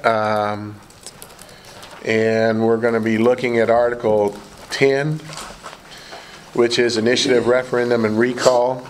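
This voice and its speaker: Hoarse man chairing a meeting, flat. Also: mid-range voice, a little hiss or hum. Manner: halting, many fillers and restarts. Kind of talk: running a committee meeting. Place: large meeting room indoors.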